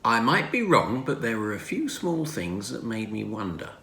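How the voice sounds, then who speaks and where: A man's voice: fairly low pitch, sing-song, normal speed, gravelly, pushed and bright, full character voice. A man voicing a thoughtful, observant boy in a children's storybook, earnest and emphatic. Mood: puzzled. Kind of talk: children's storybook character reading. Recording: roomy home recording, faint noise.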